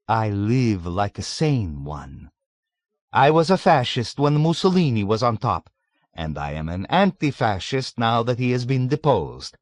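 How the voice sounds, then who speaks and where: A man voicing a cynical, suave 107-year-old man who mocks with relish, pitched above his own voice. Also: no echo. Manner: suave, mocking, scoffing.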